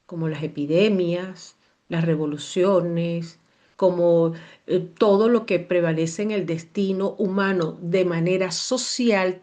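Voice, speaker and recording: Venezuelan woman explaining astrology. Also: medium pitch. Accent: Venezuelan Spanish. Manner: animated, repetitive, digressive. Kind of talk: casual explanatory talk.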